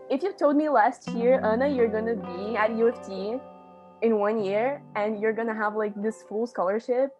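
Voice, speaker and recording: A woman's voice: fairly high pitch, lo-fi sound. A young woman from Brazil, an international student talking casually from her bedroom at home and filling her speech with "like". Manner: chatty, lots of "like", animated.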